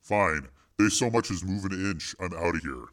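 Gang boss for crime audiobook: very low, menacing, slangy, clipped, cool and defiant. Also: male voice, animated, moderate pace, fairly bright tone. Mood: taunting. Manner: slangy clipped street talk, taunting. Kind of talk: audiobook character dialogue.

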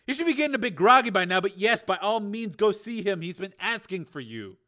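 Reassuring male physician, played by a male narrator, high-pitched, professional and matter-of-fact, bright delivery for audio fiction. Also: very animated, brisk, heavily acted. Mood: reassuring, businesslike. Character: calm, reassuring male doctor giving a bedside report.

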